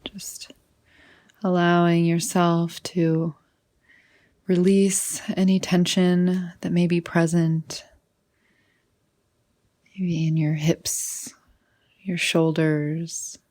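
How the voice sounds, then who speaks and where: A woman leading a guided meditation in a soothing, unhurried manner, often trailing off into breathy softness. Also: lowish voice, flat delivery.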